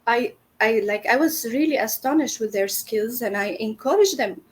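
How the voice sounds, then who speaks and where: A woman's voice: fairly high pitch, moderate pace. A middle-aged woman from Syria, a business founder telling her story in an interview, earnest with many fillers and restarts.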